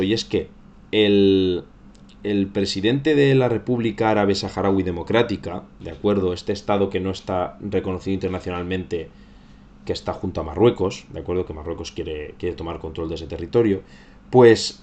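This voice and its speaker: A man's voice: low voice, darkish tone, highs cut off. A man with an accent from Spain hosts a livestream, commenting casually on current affairs and often repeating and rephrasing himself. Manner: conversational, repeats and rephrases phrases. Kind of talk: casual live news commentary.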